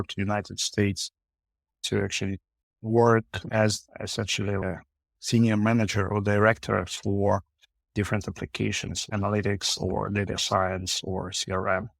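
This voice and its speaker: A man, a company founder answering interview questions haltingly with frequent fillers. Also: fairly low pitch, level tone, vocal fry, dry recording. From Ukraine. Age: 40s. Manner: halting, many uh fillers, non-native phrasing. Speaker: podcast guest, founder.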